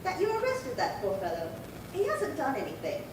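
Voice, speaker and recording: A woman's voice: wildly swooping pitch, moderate pace. A woman playing a fussy, indignant middle-aged burglary victim with a prim, folksy way of insisting, in a radio drama. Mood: reproachful. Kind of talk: 1950s radio crime drama acting. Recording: echoey room, distant mic, noisy rumble.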